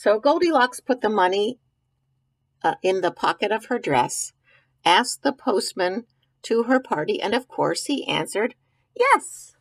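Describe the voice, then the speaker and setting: A woman reads a picture book to young children at an unhurried pace, in a mid-pitched voice. She pauses to ask questions and think aloud, explaining things in simple words. The sound is close and dry. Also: big pitch swings, no echo. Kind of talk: read-aloud with informal commentary to young children.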